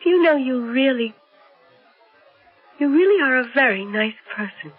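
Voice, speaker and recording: An actress playing a shy, gentle, trusting woman in a radio drama. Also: sits high, lively intonation, slow, husky, soft background music, exaggerated voice. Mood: amused, tender. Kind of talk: 1970s radio drama acting. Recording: dull vintage radio recording.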